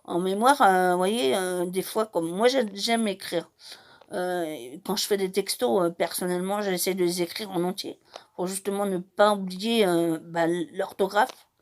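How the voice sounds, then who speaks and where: A woman in her forties, born on Madeira, with Portuguese as her first language. She talks in a casual personal monologue, hesitant and full of small restarts and fillers. Her voice sits at a middle pitch. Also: steady tone, unhurried. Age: 40s.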